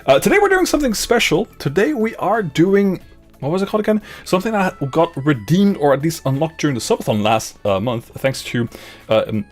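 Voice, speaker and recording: A man's voice: on the high side, very animated, fairly quick, a little mellow, soft background music. A young man with a Dutch-Flemish accent streaming casually from his home gaming room, laughing often and repeating himself as he reads chat aloud. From grew up in Luxembourg, Dutch parents, lives in Belgium. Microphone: studio mic on boom arm, headphones.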